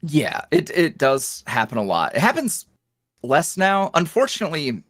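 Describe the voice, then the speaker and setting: A man, an ASMR roleplay creator, chatting casually as a guest in an interview, animated and self-deprecating with plenty of fillers. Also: fairly high pitch, normal speed, dry recording, clean studio sound.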